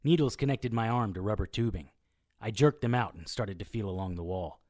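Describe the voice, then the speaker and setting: An actor playing a wry, self-mocking immortal occult investigator who narrates an audio drama in noir style. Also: male voice, lowish voice, a little fast, darkish tone, dry recording, studio quality, natural voice. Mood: brooding, puzzled. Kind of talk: scripted first-person audio drama narration.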